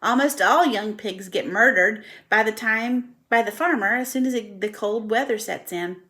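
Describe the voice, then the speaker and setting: A gloomy old ewe in a storybook, as a woman puts her on, talking plainly and grimly. Her voice is bright and a little raised. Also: on the high side, moderate pace. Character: old female sheep, blunt and gloomy.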